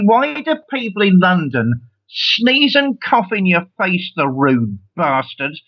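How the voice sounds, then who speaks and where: High-voiced theatrical man with a cold. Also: highs cut off. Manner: theatrical, sings snatches, grumbles. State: hoarse from a summer cold. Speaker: guest, priest and dramaturg.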